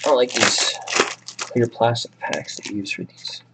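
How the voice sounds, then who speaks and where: Hoarse man rattling off team picks. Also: medium pitch, steady tone, very noisy. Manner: rapid listing of names and teams; casual, chatty. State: sick, raspy voice. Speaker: card break host. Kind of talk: live card break commentary.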